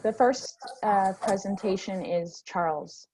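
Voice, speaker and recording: Slow-talking woman giving meeting logistics. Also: mid-range voice, warm tone, a little hiss or hum, rough recording. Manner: slow, hesitant, filler-heavy. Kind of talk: giving logistics on a video call.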